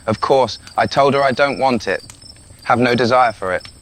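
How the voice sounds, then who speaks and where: A man's voice: medium pitch, moderate pace, a bit bright, natural voice. A man with a British accent playing a restless, rebellious young prince in an intimate, sardonic audio roleplay. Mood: bitter, despairing. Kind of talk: scripted audio roleplay addressed to the listener.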